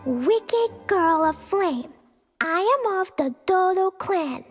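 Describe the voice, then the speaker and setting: An innocent, excitable little girl from a video game, with an extremely high voice. She speaks slowly and earnestly in a childlike way, over clear background music. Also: full character voice. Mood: halting, puzzled. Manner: childlike, speaks of herself in third person. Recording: faint music under the voice.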